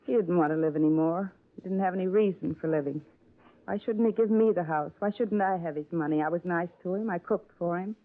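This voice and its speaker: A woman's voice: lowish voice, fairly quick, natural voice. An actress playing a middle-aged woman suspect who denies everything and then confesses to murder, in a 1950s radio drama. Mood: confessing. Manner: defensive, denying, then breaking into flat confession. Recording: narrow phone-like old radio transcription.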